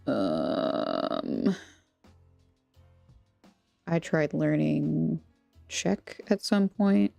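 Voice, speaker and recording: An American woman chats casually with her viewers in a lowish voice full of vocal fry. She rambles playfully and pokes fun at herself. The sound is close and dry, with music playing behind her. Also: big pitch swings, drawn-out words, long pauses, mellow, dry recording. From Texas, United States. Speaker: craft livestream host. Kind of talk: casual chat with viewers while crafting. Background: background music.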